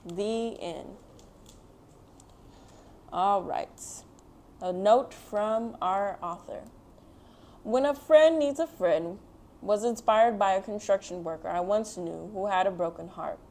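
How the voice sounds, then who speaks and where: A woman hosts a picture book read-aloud for children. Her voice sits at a middle pitch for a woman. She explains things in simple, encouraging words, speaking straight to young listeners. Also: takes it slowly, pauses often, dark tone.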